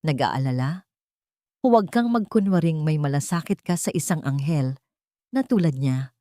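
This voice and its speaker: A woman's voice: expressive, moderate pace, mellow, no echo, studio quality. A woman voicing a venomous, haughty old rich matriarch who threatens with cruel contempt in an audiobook drama. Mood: bitter contempt. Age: older. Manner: low, hissing, each word pressed out.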